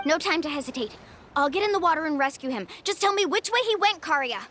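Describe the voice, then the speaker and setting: High-voiced young adventure guide. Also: female voice, fast talker, slight background noise. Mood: urgent. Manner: earnest, expressive. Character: upbeat, caring young female adventure guide. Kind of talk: video game voice acting.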